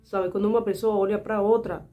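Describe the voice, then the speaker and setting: A young Cuban woman with a Spanish accent tells her viewers her stories in a heartfelt, indignant way. Her voice sits at a middle pitch and keeps coming back to her audience. Faint guitar music plays quietly under her vlog. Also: steady tone, brisk, lo-fi sound. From Cuba. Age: 20s. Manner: earnest, indignant, repeatedly addressing viewers as "gente". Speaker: vlogger. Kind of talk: personal storytelling to camera.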